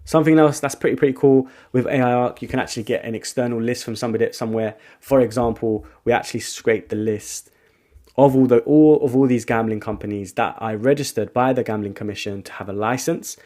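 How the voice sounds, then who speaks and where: A man walking viewers through a software tool in a casual screen-share tutorial, thinking out loud with frequent restarts. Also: mid-range voice, fairly even intonation, fairly quick. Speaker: agency founder giving a software tutorial.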